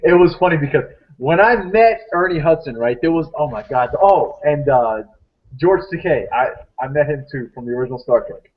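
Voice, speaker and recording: A man's voice: on the high side, lively intonation, a little fast. A man in his thirties hosting a casual panel chat, rambling and repeating words as he makes his point. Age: 30s. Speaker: host. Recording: muffled lo-fi stream audio.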